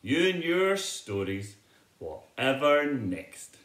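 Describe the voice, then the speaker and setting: A firm, fond storybook mother bear , played by a man. The pitch swoops with motherly exasperation, and the words are measured, with some room echo. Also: big pitch swings, fairly bright tone. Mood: amused exasperation.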